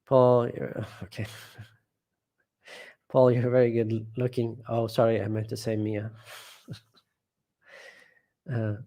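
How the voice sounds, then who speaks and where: Man hosting a relaxed home live stream, mid-pitched voice, reads out chat comments, meanders and restarts his sentences. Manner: rambling, often trailing off and restarting. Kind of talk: casual live chat, reading viewer comments aloud.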